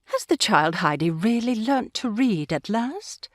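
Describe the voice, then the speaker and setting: Casting: gracious elderly grandmother, gentle and earnest, expressive swooping intonation, close dry audiobook sound. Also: medium pitch, unhurried, no echo. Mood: gracious, pleased. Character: kindly pious old grandmother, gracious and gently probing. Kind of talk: full-cast audiobook acting.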